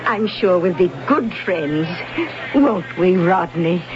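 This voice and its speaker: A woman's voice: fairly low pitch, expressive, slow. An actress playing a kindly older English grandmother, genteel, in a radio drama. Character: genial English grandmother visiting New York. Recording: muffled old radio recording, background noise.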